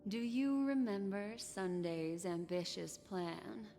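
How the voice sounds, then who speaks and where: An actress voicing a poised, knowing woman in a video game, with a calm, mysterious and deliberate way of telling things. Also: creaky, faint music behind. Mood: calm, solemn. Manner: unhurried, deliberate storytelling. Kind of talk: scripted video game story dialogue. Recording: long reverb, low rumbling noise.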